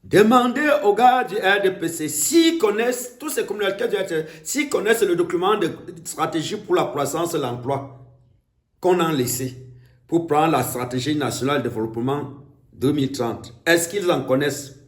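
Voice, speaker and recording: A man with a Cameroonian accent giving an emphatic, declamatory political speech, with deliberate pauses. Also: on the high side, animated. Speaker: political speaker. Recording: echoey room.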